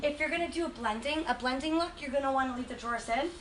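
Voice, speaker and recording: High-voiced chatty woman with a cold. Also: rapid-fire, background noise. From Western Massachusetts. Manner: chatty, enthusiastic, rambling. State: has a cold. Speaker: furniture painting host. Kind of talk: casual livestream demo.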